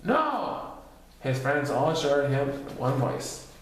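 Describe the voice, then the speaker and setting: A man's voice: mid-range voice, level tone, unhurried, a little hiss or hum. A man narrating a children's storybook expressively, recorded in a very echoey room. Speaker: storybook reader.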